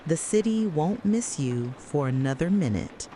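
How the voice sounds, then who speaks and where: A narrator delivering a scripted, dramatic late-night soliloquy in a deliberate, brooding way, speaking straight to the listener. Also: mid-range voice, animated, mellow, slight background noise. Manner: slow, deliberate, brooding second-person address.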